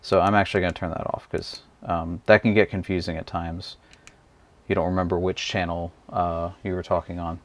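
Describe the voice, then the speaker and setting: A man's voice: deep voice, brisk. A man casually walking through a gadget's buttons and menus, explaining each feature as he handles it. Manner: relaxed, explanatory, talks while handling the device. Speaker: product reviewer. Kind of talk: casual hands-on gadget walkthrough.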